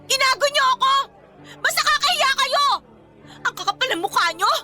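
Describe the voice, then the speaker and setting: Jealous betrayed young Filipina, very high bright voice, swooping delivery, emotional, radio drama. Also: female voice, expressive, fairly quick, soft background music, a faint crowd, full character voice. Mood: furious, hurt. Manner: confrontational, emotional, taunting. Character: jealous, fiery young woman, betrayed girlfriend.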